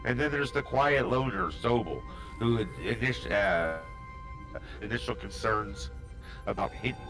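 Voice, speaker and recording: A man narrating a tabletop role-playing game as its game master, voice at an average pitch for a man. He thinks aloud as he goes, with lots of fillers and restarts. Faint music plays quietly behind him. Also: expressive, unhurried.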